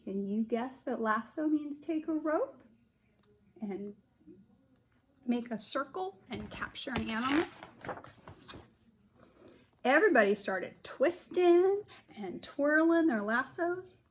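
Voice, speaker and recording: Slow-paced woman reading to young children. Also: high-pitched, lively intonation, slight background noise. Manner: explains asides simply to young children. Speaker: kindergarten teacher. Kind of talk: children's storybook read-aloud.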